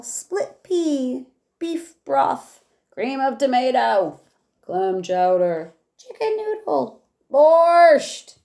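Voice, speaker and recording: A woman teacher reading a rhyming picture book aloud in a measured way, with casual asides. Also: fairly high pitch, a little mellow.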